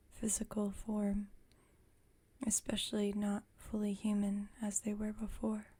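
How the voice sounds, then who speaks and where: A woman plays a female vampire roommate in an intimate ASMR roleplay. Her voice is a soft, breathy whisper with a dark, mellow tone. She chats at a slow, unhurried pace with gentle wry exasperation. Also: mid-range voice. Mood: explaining. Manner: unhurried, conversational, lightly wry. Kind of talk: ASMR roleplay, intimate one-sided chat.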